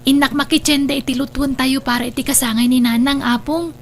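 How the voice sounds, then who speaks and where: A woman's voice: high voice, fairly even intonation, a little fast, vocal fry, a little hiss or hum. A woman playing a caring, hardworking young adult daughter in an Ilocano audio drama, speaking with an Ilocano accent.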